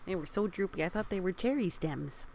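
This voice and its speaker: A woman with a slightly low, creaky voice reads a children's story aloud. She takes her time, gently encouraging young listeners and chatting in casual asides, often softening toward a whisper. A faint noise sits behind her. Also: animated, a little fast. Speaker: storybook reader.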